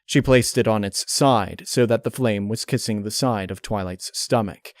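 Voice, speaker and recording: A man reading a dark horror story aloud as a scripted narrator, close on the mic. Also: on the low side, moderate pace, no echo.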